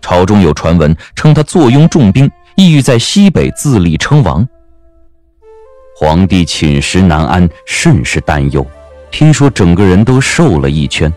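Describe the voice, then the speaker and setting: A man narrating a scripted audio drama as an expressive storyteller. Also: deep voice, unhurried, soft background music.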